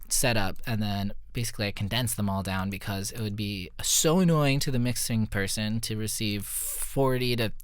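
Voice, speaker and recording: A man's voice: medium pitch, clear, resonant tone, no room sound, studio quality. A man talking casually and informally, as a musician walking listeners through a recording. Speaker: musician breaking down own recorded song. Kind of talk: casual behind-the-music walkthrough.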